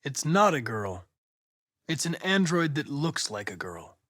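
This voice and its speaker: A man with an American accent playing a polite, determined young android police investigator in a video game, speaking in a precise, formal, measured way. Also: fairly high pitch, very animated, fairly bright tone, heavily acted. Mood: calm, polite. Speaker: actor voicing a video game character.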